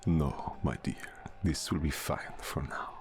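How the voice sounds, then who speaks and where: Casting: male actor as ancient vampire count, extremely low and slow, suave, formal, intimate ASMR drama with music. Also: steady tone. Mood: suave, amused. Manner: formal, measured, suave, often breathy. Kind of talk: intimate ASMR audio roleplay. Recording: music behind the voice.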